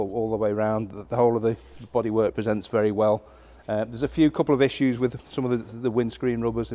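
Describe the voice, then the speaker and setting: Middle-aged man, lowish voice, easygoing off-the-cuff auction presenter, warehouse, phone-recorded. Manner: relaxed and conversational, with frequent fillers and restarts. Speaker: classic car auction presenter. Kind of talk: unscripted walkaround car presentation. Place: large indoor auction warehouse.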